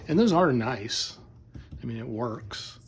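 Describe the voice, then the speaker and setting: A man's voice: mid-range voice, animated, slow, a little hiss or hum. A man giving a casual, unscripted hobby product demo in a hesitant, rambling, plain-spoken way. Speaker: hobbyist video presenter.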